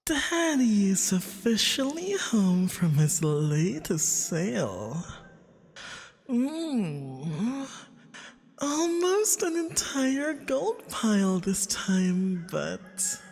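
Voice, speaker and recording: Slow, husky femboy vampire acted by a woman. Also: on the high side, a little mellow, exaggerated voice. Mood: adoring, flirtatious. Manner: slow, cooing, teasing pet-name talk with breathy murmurs. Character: possessive, doting male femboy vampire captor, flirtatious and menacing. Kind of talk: ASMR romantic roleplay. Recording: long reverberant echo.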